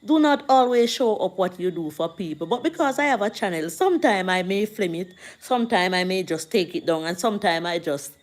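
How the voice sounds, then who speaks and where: A Jamaican woman hosting a casual livestream, chatting to viewers and reading out their comments in a slow, preachy way. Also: lowish voice, lively intonation.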